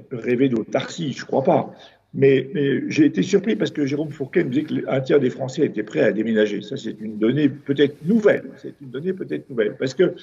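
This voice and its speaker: A man's voice: medium pitch, gravelly, dark tone, husky, highs cut off. A man from France, a city councillor, earnestly explaining a report as a discussion guest. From France, Avignon. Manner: earnest, measured, with frequent restarts.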